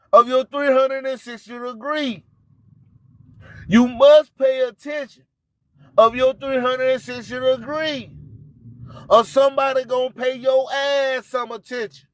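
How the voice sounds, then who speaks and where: Male internet radio host, very high pitch, unhurried pace, declamatory and repetitive with rhyming catchphrases. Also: no echo. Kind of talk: live show hype and preaching monologue.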